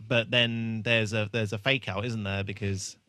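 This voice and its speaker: A man talks casually as a podcast co-host, with a bright, forward voice. He searches for his phrasing as he goes, in an informal way. The sound is very dry, with no room on it. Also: fairly low pitch, flat delivery, moderate pace, no echo. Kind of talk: casual chat recapping a TV episode.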